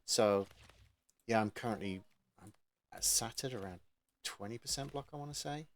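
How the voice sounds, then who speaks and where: A man's voice: mid-range voice, normal speed. A man hosting a gaming channel, chatting casually with a guest in an informal interview.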